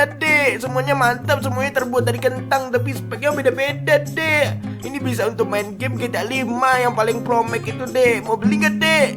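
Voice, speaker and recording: A man voicing an elderly, self-important, dim-witted cartoon shopkeeper who grumbles and chatters in a whiny way, often about himself in the third person. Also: very high-pitched. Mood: eager salesman. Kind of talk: cartoon voice acting in a comedy horror skit. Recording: voice under loud instrumental music.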